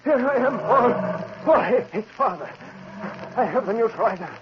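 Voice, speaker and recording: A man's voice: very high-pitched, lively intonation, normal speed. An actor playing an older scientist, a protective, agitated father, in a 1940s radio drama. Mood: desperate pleading. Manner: pleading, agitated. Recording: muffled, noisy 1940s radio recording.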